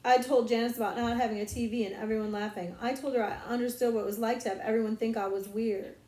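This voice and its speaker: A woman's voice: a little high, steady tone, quick pace, mellow, natural voice. Woman reading as an earnest, knowing schoolgirl, roomy sound. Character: earnest, knowing young schoolgirl who relishes secrets. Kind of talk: audiobook character voice.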